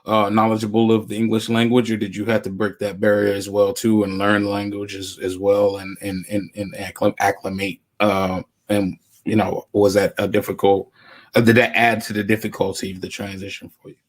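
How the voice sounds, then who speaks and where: A man's voice: fairly low pitch, little pitch movement, moderate pace. A man hosting a casual interview show, feeling his way through questions with repeated and rephrased words. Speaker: host of a podcast web show.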